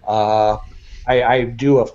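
Measured man on dull call audio. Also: mid-range voice, level tone, darkish tone. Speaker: guest tech journalist. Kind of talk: casual roundtable chat.

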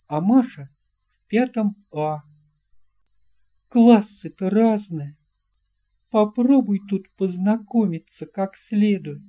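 A narrator reading a children's story in an expressive, measured storytelling manner. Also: mid-range voice. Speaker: audiobook narrator.

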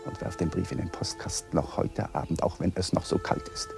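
An actor playing an embittered, excitable young lawyer in a radio drama, tense and quick to turn defensive. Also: male voice, low-pitched, creaky, over music. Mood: bitter, desperate. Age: young adult.

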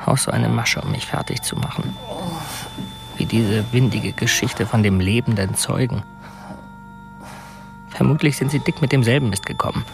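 A tense, defensive suspect. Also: male voice, mid-range voice, sing-song, normal speed, creaky, long pauses, music in the background. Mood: brooding. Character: Perry, tense male murder suspect. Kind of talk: radio drama acting.

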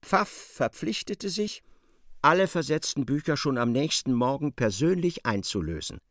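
A man giving expressive literary narration in a radio drama, as a storyteller. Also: on the high side, normal speed, dry recording, studio quality.

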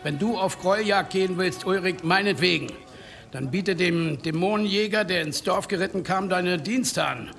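Village headman, bright forceful voice, declamatory, authoritative, radio drama, music underneath. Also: high-pitched, normal speed, creaky. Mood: firm, commanding. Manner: emphatic, declamatory. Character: male village headman, urging calm, firm authority. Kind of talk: German radio drama acting.

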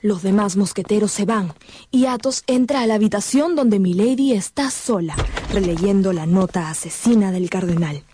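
A woman's voice: mid-range voice, animated, normal speed, dark tone, slight background noise. A woman narrating a radio drama in a scripted, dramatic storytelling style.

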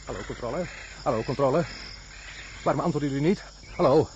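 An actor playing a delirious, obsessed astronaut in a radio drama, raving and repeating himself. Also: male voice, mid-range voice, fast, muffled recording, lightly acted. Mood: desperate. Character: delirious, frantic male astronaut stranded in jungle, obsessed. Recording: radio drama with loud insect noise. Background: jungle crickets and insects.

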